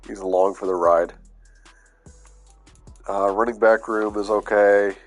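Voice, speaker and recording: A man's voice: fairly low pitch, steady tone, measured pace, faint music behind. Stuffy-voiced man, laid-back game commentary. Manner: laid-back, thinking aloud with fillers. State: stuffy, under the weather. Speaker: gaming YouTuber. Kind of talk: casual gameplay commentary.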